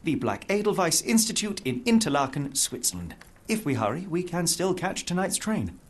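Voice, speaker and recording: An actor playing a cerebral, self-assured young detective in a video game, with a British accent and precise, theatrical delivery. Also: male voice, high voice, big pitch swings, moderate pace, background noise. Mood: confident, brisk. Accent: British English. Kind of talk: dramatic video game cutscene acting. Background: music.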